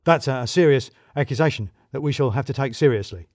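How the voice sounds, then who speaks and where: A jittery, paranoid office manager played by a male storyteller in an audio drama. He pushes his words out loudly and a little high, stammering and repeating himself in blustering emphasis. The sound is close and dry. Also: fast talker, dry recording, natural voice. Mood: rattled, stalling.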